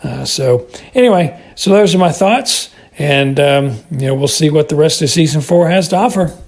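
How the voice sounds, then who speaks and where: A man's voice: fairly high pitch, expressive, normal speed, darkish tone, slight background noise. A middle-aged or older American man giving a casual spoken review, hesitating and repeating words as he rambles. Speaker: listener calling in with feedback.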